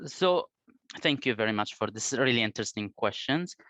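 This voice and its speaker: A man from Iraq in his thirties, a native Arabic speaker, answering interview questions slowly with many hesitations. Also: mid-range voice, animated, bright, forceful tone, no room sound. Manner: slow, hesitant, many fillers. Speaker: researcher, interview guest.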